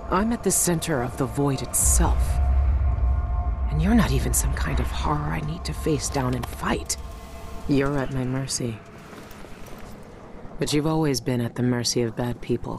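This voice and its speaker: An actress playing a hardened but merciful female assassin in a video game, speaking with measured, earnest intensity. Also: very deep voice. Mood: grave resolve. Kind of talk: video game dramatic acting. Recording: music under the voice. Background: music.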